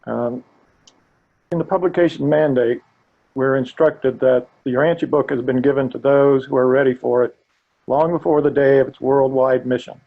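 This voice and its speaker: An older man with a gravelly voice walks listeners through a training webinar. He speaks in an earnest, steady way and sometimes restarts a phrase. The audio is dull and lo-fi, like a video call. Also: medium pitch. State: gravelly voice today. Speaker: webinar presenter. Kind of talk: presenting a live training webinar.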